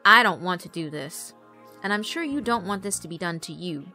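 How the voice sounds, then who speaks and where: A woman's voice: on the low side, animated, fairly quick, sharp tone, faint music behind. A woman voicing a fierce, righteous schoolgirl spirit, defiant and earnest, in a horror visual novel. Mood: defiant resolve. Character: fierce, righteous schoolgirl yokai with monstrous strength.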